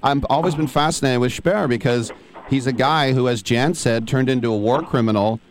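A man's voice: mid-range voice, normal speed, slight background noise. A man hosting a radio interview, talkative and enthusiastic, asking long questions. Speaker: radio host. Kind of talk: interview conversation.

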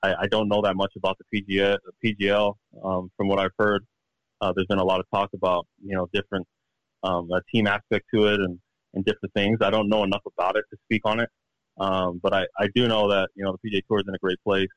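A man's voice: low-pitched, flat delivery, fast, no room sound, rough recording. A man in his thirties, a professional golfer giving a casual interview, who halts and repeats words as he searches for them. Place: at home.

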